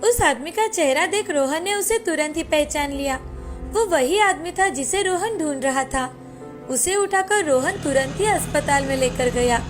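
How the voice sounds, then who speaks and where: A woman's voice: high-pitched, animated, normal speed. A woman storyteller reading a scripted moral tale as narrator. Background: faint background music.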